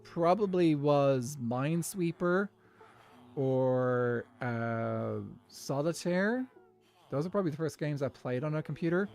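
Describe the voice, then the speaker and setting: A man's voice: on the high side, a little mellow. A young Irish man chatting casually and at length while he plays a game, answering chat as he goes. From Ireland. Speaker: streamer. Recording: faint music in background. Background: faint background music.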